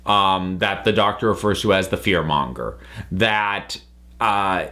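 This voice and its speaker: An American man giving a casual, opinionated review as a vlog host, thinking aloud in halting phrases with emphatic stresses. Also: low voice, bright, forceful tone.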